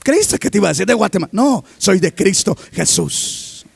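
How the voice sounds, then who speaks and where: A man preaching a sermon as pastor, reading scripture aloud and constantly asking the congregation questions, rising to emphatic shouts. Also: high-pitched, lively intonation, moderate pace, gravelly, breathy edge, dry recording. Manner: reads scripture aloud between remarks.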